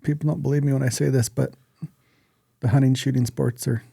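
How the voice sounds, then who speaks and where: A man's voice: medium pitch, steady tone, brisk, creaky, mellow, husky, no echo, clean studio sound. A man co-hosting a podcast in casual, joking chat with some swearing. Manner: informal, joking, profane. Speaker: podcast co-host.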